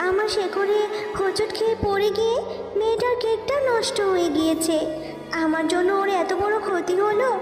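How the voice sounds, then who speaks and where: A woman's voice: very high voice, echoey room, long reverb tail, far from the mic. A woman voicing a kindly, soothing magic tree in a children's cartoon story.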